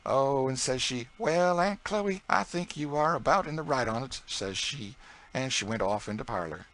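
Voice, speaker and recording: A man's voice: medium pitch, animated, moderate pace, fairly bright tone, voice pushed up. A man putting on a boastful woman cook. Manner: thick old rural dialect, boastful and scolding. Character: proud talkative woman cook, middle-aged. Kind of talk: audiobook character reading.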